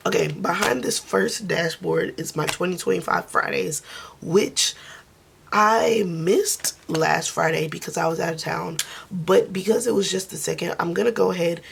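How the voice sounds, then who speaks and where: A woman who is losing her voice speaks softly and casually, counting money aloud in a budgeting vlog. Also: moderate pace, gravelly, slight background noise. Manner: soft, low-key, counting under her breath. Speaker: budgeting vlogger.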